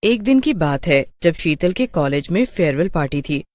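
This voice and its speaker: A woman narrates a scripted story in a slightly low voice with lively rises and falls. The sound is close and dry. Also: moderate pace, dry recording.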